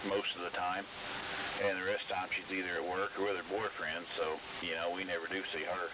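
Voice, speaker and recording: Middle-aged country guy on cb, low voice, loads of hiss, folksy talk. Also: level tone, a little fast. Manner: folksy, colloquial. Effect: CB radio. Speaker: CB radio operator. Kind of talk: casual chat over CB radio. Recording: very noisy, hissy CB radio transmission.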